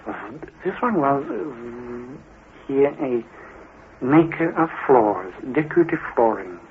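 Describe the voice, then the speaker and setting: Slow halting man, trance reading, tinny archive recording with hiss. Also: medium pitch, sing-song. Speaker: psychic.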